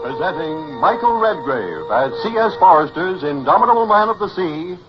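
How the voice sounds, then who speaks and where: Over loud music, a grand vintage radio announcer, declaiming in a high, sweeping voice. The old recording is muffled. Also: male voice, very animated, normal speed. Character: booming male announcer presenting an adventure serial. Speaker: programme announcer. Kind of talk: dramatic 1950s radio announcing.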